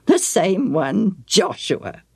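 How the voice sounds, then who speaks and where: A woman voicing an earnest, measured father, a peacemaker in a storybook tale. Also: mid-range voice, wildly swooping pitch, creaky, dark tone, breathy edge, no echo, studio quality, exaggerated voice. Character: peacemaking father who admires his hero.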